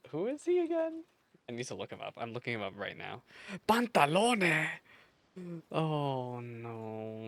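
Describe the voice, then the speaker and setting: A woman's voice: rumbling low, dry recording. A woman streamer giving casual, chatty, playful commentary with exclamations during a game playthrough.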